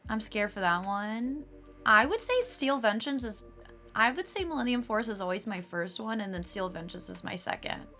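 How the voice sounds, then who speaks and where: A woman streaming live, chatting casually with her viewers and laughing often, her voice a little raspy. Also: mid-range voice, moderate pace, sharp tone, faint music behind. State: slightly raspy voice.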